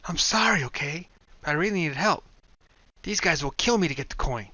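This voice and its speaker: A man's voice: lively intonation, brisk, pushed and bright, no room sound, highs cut off, exaggerated voice. A man voicing Kai, a frightened, worn-out young woman who pleads wearily for help. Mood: pleading. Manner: pleading, weary, raised pitch for a woman.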